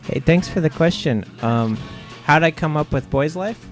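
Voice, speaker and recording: A man from Ohio, a comic artist guest, giving relaxed, rambling interview answers with lots of "uh"s. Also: a little high, normal speed, faint music behind. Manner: laid-back, rambling with many "uh"s.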